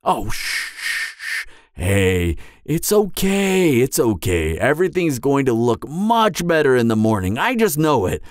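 Man acting as a flustered, friendly giant, wildly animated. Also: mid-range voice, a little slow, no room sound, clean studio sound. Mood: soothing. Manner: flustered, hesitant, rambling, animated. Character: friendly, clumsy, apologetic male giant. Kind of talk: roleplay audio drama monologue.

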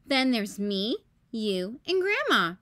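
A woman's voice: a little high, sing-song, takes it slowly, a bit bright, full character voice. A woman reading a storybook as a bossy, puzzled young big-sister bunny, in an emphatic, exclaiming way. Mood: organizing. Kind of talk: children's storybook read-aloud.

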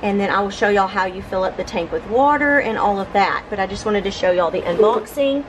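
Woman vlogging over loud background noise. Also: mid-range voice, lively intonation, fairly quick. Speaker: home vlogger. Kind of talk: casual unboxing and cleaning vlog narration. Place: living room of a home, indoors. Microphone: camera mic on a tripod nearby.

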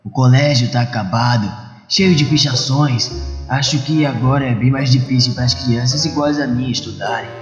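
A man's voice: mid-range voice, normal speed, natural voice. Man playing a troubled young man, echoey. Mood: wistful. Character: introspective, absent-minded young man, troubled and earnest. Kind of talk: dubbed comic-book dialogue and inner monologue. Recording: echoey, muffled, music behind.

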